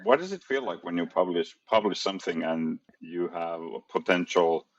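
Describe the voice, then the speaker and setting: Deep-voiced male podcast host. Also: expressive, moderate pace, lo-fi sound. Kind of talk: casual interview.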